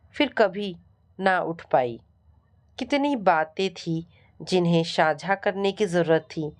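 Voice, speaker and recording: A woman storyteller giving a scripted, dramatic narration of a family story. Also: lowish voice.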